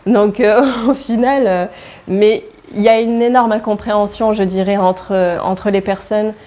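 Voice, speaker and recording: A woman speaking in a documentary interview, hesitant, with many pauses and fillers. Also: mid-range voice, fairly even intonation, mellow. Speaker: interviewee, child of immigrants.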